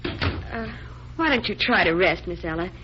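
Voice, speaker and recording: A woman's voice: lowish voice, lively intonation, a little slow, noisy background. A woman playing a spirited, inquisitive, slightly bossy detective's assistant in 1940s radio style. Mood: soothing. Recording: muffled 1940s radio recording.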